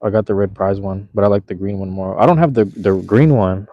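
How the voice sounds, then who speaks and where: A man's voice: fairly low pitch, brisk. A young man hosting a casual live chat from a phone, outdoors at night, talking in a laid-back, slangy, rambling way. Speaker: livestream host. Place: outdoors at night in a park, cold drizzle. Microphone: handheld phone. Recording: slightly dull lo-fi phone audio.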